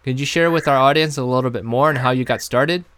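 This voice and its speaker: A man hosting a podcast, reading upbeat promos and then asking relaxed interview questions. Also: medium pitch, quick pace, clean tone, dry recording. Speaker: podcast host. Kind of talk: podcast intro and casual interview.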